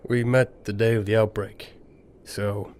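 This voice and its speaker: A man's voice: on the low side, level tone, a little slow, darkish tone, close to an everyday voice. A man playing a socially awkward, guarded young man in an amateur audio drama, blunt and offhand.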